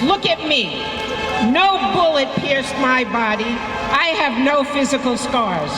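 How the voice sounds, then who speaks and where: A middle-aged woman giving a prepared, emphatic speech at an outdoor rally. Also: high-pitched. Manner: measured, earnest, emphatic. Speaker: rally speaker. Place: outdoor rally in front of a courthouse. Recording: echoey public address outdoors, crowd noise.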